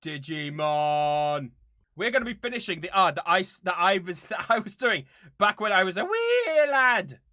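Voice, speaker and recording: A man's voice: high voice, sing-song, normal speed, bright, forceful tone, no echo, studio quality. A man of about thirty chatting casually to viewers as a channel host, in a playful, theatrically mock-serious way. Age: around 30.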